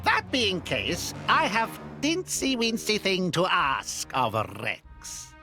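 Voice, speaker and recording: A man's voice: sits high, wildly swooping pitch, sharp tone, soft background music, full character voice. An actor voicing a pompous creature chairman who speaks in broken, grandiose phrases. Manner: broken grammar, grandiose, slow. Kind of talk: video game voice acting.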